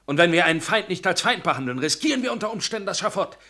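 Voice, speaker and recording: A man's voice: high-pitched, expressive, fast talker, exaggerated voice. A man acting a stern young resistance leader who interrogates and commands, in a radio drama. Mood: commanding. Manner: commanding, interrogating, shouting in bursts. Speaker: radio play actor.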